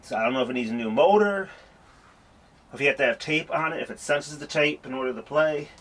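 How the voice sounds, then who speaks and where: Unhurried man thinking aloud. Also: a little high, a bit bright. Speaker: hobbyist showing a tape recorder. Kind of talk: casual walkthrough vlog.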